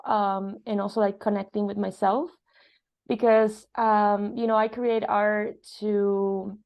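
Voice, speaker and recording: Female interview guest, filmmaker from Argentina, mid-pitched with noticeable vocal fry, earnest and reflective. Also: darkish tone. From born in Argentina, grew up in Spain and Italy. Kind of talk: conversational interview, personal reflection.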